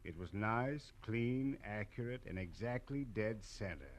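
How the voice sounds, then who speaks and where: An actor playing a laconic, shady, grimly unhurried investigator, terse and slangy, in an old radio drama. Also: male voice, lowish voice, expressive. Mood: grim, cool. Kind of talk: 1940s radio drama acting. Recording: slightly noisy old radio recording.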